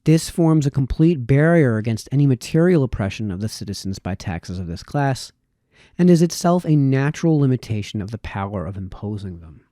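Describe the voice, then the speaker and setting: A man reading formal historical prose aloud in a measured, deliberate way, with occasional stumbles over words. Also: mid-range voice, lively intonation, warm tone, no echo, studio quality. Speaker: reader, host of a reading series.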